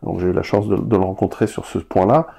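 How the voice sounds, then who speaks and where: Guy musing as a guest, deep-ish and gravelly. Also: fast, warm tone. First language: Italian. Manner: reflective, thinking aloud. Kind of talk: conversational interview.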